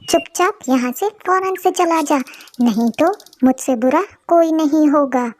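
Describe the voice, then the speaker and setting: A woman's voice: sits high, a little slow, darkish tone. A woman voicing a bold, protective female crow in a moral cartoon, with an earnest, emphatic manner. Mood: scolding, threatening.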